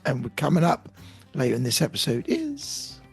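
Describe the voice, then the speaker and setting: Hoarse man with a gravelly, husky voice. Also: fairly high pitch, huge pitch swings, moderate pace, soft background music. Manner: jokey, self-deprecating, restarts a lot. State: hoarse from a cold. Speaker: podcast co-host. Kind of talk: casual banter.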